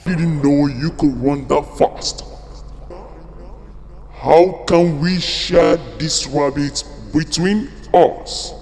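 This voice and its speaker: A man acts out a big storybook lion, hungry, sly and bossy. He speaks extremely slowly and a little high, with a menacing growl, in a long hall-like reverb. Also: fairly even intonation, darkish tone. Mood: hungry, scheming. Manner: slow, deliberate, growling. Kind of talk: storybook character acting.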